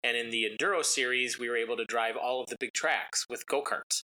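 Bright-voiced man telling his story. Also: mid-range voice, a little fast, rough recording. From United States. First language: Italian. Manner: rambling, relaxed, frequent ums and uhs. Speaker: interview guest, racing driver. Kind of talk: casual storytelling interview.

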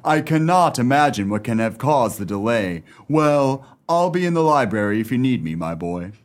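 An actor playing a stuffy old English gentleman in a radio play, speaking in a formal, fussy, slightly faltering way. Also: medium pitch, lively intonation, moderate pace, natural voice. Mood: pleased surprise.

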